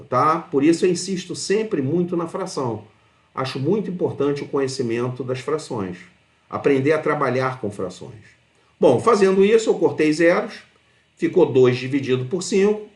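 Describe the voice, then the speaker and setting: The voice sits in an echoing room and belongs to a Brazilian man teaching informally. His intonation swings widely on a slightly raised pitch. He explains one step at a time and often tags his phrases with small check-in questions. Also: lively intonation, normal speed. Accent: Brazilian Portuguese. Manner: conversational, often checks understanding with short tag questions. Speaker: math teacher. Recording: roomy, echoing voice.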